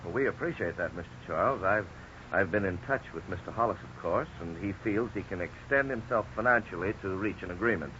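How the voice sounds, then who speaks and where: An actor playing an earnest, polite, businesslike lawyer in a 1950s radio drama. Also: male voice, low voice, a little fast, sharp tone. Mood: courteous, formal. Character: earnest male lawyer negotiating for his client. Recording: muffled, hissy 1950s radio recording.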